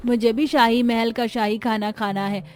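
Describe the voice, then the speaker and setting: A woman's voice: fairly high pitch, fairly even intonation, moderate pace, close to an everyday voice. A woman voicing a poor, hungry child in a cartoon, whining and plaintive. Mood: longing. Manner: plaintive, whiny. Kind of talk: cartoon voice acting.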